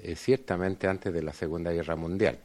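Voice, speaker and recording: A middle-aged man speaking on a conference panel in a measured, formal way, often pausing on fillers. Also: low-pitched, fairly even intonation, a little mellow. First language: Spanish. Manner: measured, hesitant with frequent fillers. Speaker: panel speaker, law school dean.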